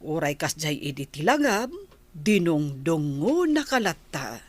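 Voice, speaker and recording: A grandmother in a village radio comedy, played by a woman, with a slightly low voice that rises and falls a lot. She talks slowly and earnestly, and the recording is very dry. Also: sing-song, no room sound. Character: older grandmother arranging a wedding. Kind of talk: radio comedy drama acting.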